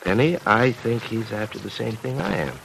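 An actor playing a breezy, insistent, bantering man in an old-time radio drama, quick with a wisecrack. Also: lowish voice, animated, creaky, fairly bright tone, exaggerated voice. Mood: wry. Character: breezy, impatient bantering man who dodges housework. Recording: noisy vintage radio broadcast recording.

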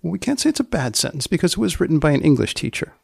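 Male host, dry close recording, gravelly edge; deadpan, mock-solemn, wordy banter. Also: fairly high pitch, rapid-fire, dry recording. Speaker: podcast host. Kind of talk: wry scripted commentary with banter.

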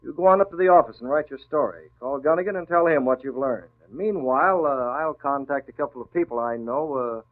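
Guy as take-charge publisher hero, mid-pitched crisp voice, 1940s radio serial, tinny narrow sound. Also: brisk, few pauses, lightly acted. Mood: businesslike. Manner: confident, crisp, in command. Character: decisive male newspaper publisher who is secretly a crimefighter. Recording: tinny, narrow-band old radio recording.

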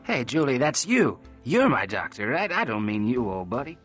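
A boozy, cocky crooner, voiced by a man reading a story talks with a swagger and a playful grin. His voice swoops high and wildly up and down, bright and pushed. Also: wildly swooping pitch, moderate pace, soft background music, heavily acted. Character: boozy, grinning male singer, sick but cocky.

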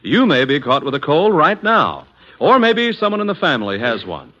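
A man's voice: fairly high pitch, big pitch swings, moderate pace, sharp tone. A man announcing a sponsor's message in the style of 1940s radio advertising. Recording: dull vintage radio broadcast recording.

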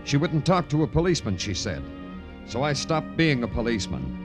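An actor playing a wry, hard-boiled Broadway police detective in clipped, deadpan old radio noir style. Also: male voice, medium pitch, brisk. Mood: brooding narration. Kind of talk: 1940s-50s radio noir acting and narration. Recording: vintage radio drama with music.

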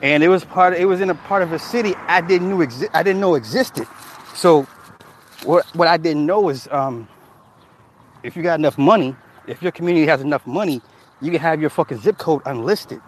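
A man's voice: fairly high pitch, brisk, a little hiss or hum. Loose, profane man chatting on stream. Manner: loose, profane storytelling, laughing at chat comments. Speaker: livestream host reading chat. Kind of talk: casual livestream chat.

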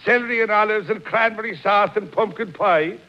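Man playing weary Irish cop, high male voice, wry complaints, radio comedy, dull vintage sound. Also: fairly quick, lightly acted. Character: weary, thirsty, henpecked Irish policeman. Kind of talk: old-time radio comedy acting. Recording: muffled old radio broadcast.

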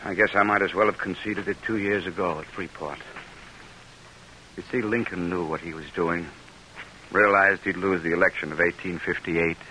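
An actor playing a middle-aged senator, grave, earnest and formal in delivery, in an old-time radio drama. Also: male voice, deep voice, fairly even intonation, moderate pace, pushed and bright, poor audio. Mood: troubled, resigned. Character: defeated middle-aged senator, earnest, weary, devoted statesman. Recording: hissy vintage radio broadcast recording.

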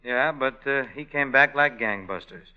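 An actor playing a lovestruck male innkeeper and crooner, talking in a fast, relaxed way in a 1940s radio drama. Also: mid-range voice, clear, resonant tone, lightly acted. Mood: breezy. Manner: relaxed, fast conversational storytelling. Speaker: lead actor. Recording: dull lo-fi 1940s radio recording. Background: orchestra music.

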